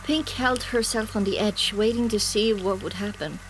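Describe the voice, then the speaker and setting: A woman's voice: medium pitch, moderate pace, a little hiss or hum. A woman reading dramatic first-person scripted narration for an audiobook.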